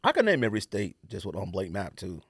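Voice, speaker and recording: An American man co-hosting a podcast in casual banter, joking and arguing in an animated way. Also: low voice, fast, breathy edge, dry recording, clean studio sound. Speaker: sneaker podcast co-host.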